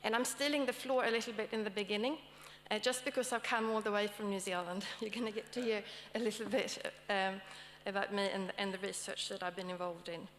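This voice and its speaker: A woman's voice: mid-range voice, steady tone, fairly quick, fairly bright tone. A woman with a Swedish accent moderating and opening a conference session, checking in with the audience often between fillers. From Sweden. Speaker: session moderator and academic speaker. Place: conference hall. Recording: long hall reverb, faint hum.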